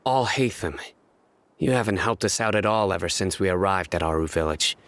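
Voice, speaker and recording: Deep-voiced, stern game warrior. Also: male voice, normal speed, a bit bright. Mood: reproachful. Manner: terse, grave. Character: stern, serious male warrior, bitter toward authority. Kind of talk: video game voice acting. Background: guitar music.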